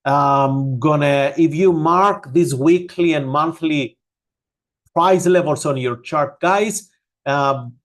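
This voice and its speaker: A man with a Greek accent giving live market analysis and answering viewer questions, speaking loosely with many fillers. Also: a little high. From Greece. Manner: unhurried, many fillers, addresses viewers as guys. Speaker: forex analyst hosting a live stream.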